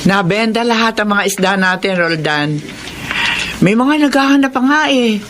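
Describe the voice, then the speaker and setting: A woman's voice: medium pitch, moderate pace, music in the background, lightly acted. An actress playing a worrying, reproachful Filipino fisherman's wife in a radio drama, emotive and complaining. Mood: regretful. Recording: noisy ambience behind radio drama dialogue.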